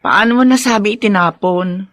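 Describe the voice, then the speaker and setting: A woman's voice: mid-range voice, moderate pace, fairly bright tone, no room sound, studio quality, lightly acted. An actress playing a sentimental Filipino woman at home in a radio drama, speaking in an emotive, colloquial way. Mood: worried. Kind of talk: Tagalog radio drama acting.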